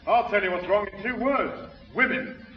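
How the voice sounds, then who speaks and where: An actor playing a grumbling, put-upon neighbour in a 1960s radio sitcom. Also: male voice, sits high, normal speed, roomy sound, recorded from a distance, slight background noise, natural voice. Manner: grumbling, aggrieved. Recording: dull 1960s radio recording.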